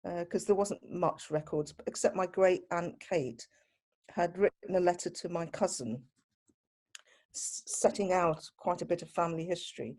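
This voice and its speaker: A woman being interviewed, talking casually and reflectively in an unhurried conversational style. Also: fairly low pitch, darkish tone. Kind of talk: casual reminiscing.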